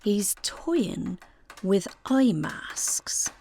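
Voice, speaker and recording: A woman's voice: mid-range voice, expressive, very slow, gravelly, mellow, slight background noise, heavily acted. An actress playing a simple, practical, stubborn family woman in a comedy audio drama, speaking literally and matter-of-factly. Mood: practical, unbothered.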